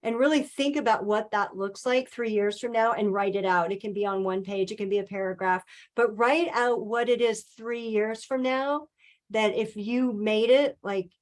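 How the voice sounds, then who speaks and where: A woman speaking as a guest in a webinar interview, talking calmly and earnestly in a coaching tone, with the odd "um". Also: medium pitch, moderate pace. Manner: calm, earnest, coaching tone with filler ums. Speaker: guest speaker, author and financial advisor. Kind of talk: webinar interview, motivational talk.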